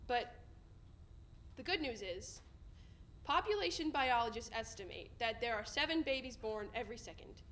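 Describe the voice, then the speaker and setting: High female voice, young adult, slam poetry, emphatic rhythmic phrasing, indoor stage performance. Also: lively intonation, normal speed, a bit bright. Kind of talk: spoken-word poetry recital. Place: indoor stage in front of a curtain.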